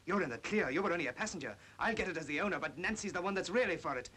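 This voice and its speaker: An actor playing a smooth, manipulative upper-class English captain in his thirties in a 1940s British film drama. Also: high voice, animated, rapid-fire, sharp tone, exaggerated voice. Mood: pressing, cynical. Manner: suave, persuasive. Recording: hissy old film soundtrack.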